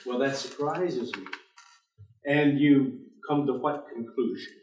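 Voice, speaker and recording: A man's voice: medium pitch, slow pace, a little hiss or hum, close to an everyday voice. Distant and roomy, as on a theater stage, an actor plays a bleak, unbelieving professor. He is a man of mid-range voice who speaks formally and precisely, with a dry, stubborn pessimism. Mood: terse. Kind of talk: staged play reading. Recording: echoey theater, distant microphone.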